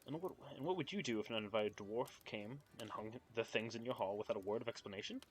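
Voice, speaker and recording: A man's voice: on the low side, fast, slight background noise. A young man on a live stream, reading a book aloud and chatting with viewers in a nervous, giggly way. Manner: giggly, nervous, chats with viewers between passages. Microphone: home streaming mic.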